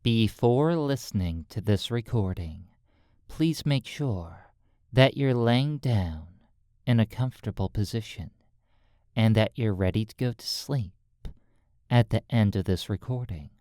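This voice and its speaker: A hypnotist speaking in a soothing, deliberate way, pausing between short phrases as in a guided sleep roleplay, close to the microphone. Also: mid-range voice, animated, warm tone, no echo. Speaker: hypnotist and recording host.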